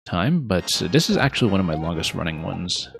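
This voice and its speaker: A man's voice: low-pitched, very animated, fairly quick, vocal fry. A man streaming a level-making game, chatting casually with viewers in a thoughtful way, with many fillers. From not from Japan. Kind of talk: casual live-stream chat while playing. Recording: faint game music behind.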